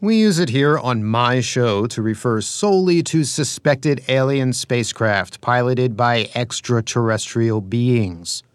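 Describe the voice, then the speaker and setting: An actor playing an eager, credulous Cold War radio host of a UFO show, probing and folksy, in an audio drama. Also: male voice, medium pitch, expressive, measured pace, few pauses, smooth, no room sound, natural voice. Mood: boastful, eager.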